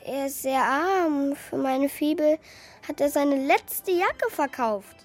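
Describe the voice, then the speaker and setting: A woman's voice: high-pitched, expressive, takes it slowly, faint music behind. A woman playing a naive, pleading little wooden puppet boy in a children's radio play, hesitant and earnest. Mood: shy.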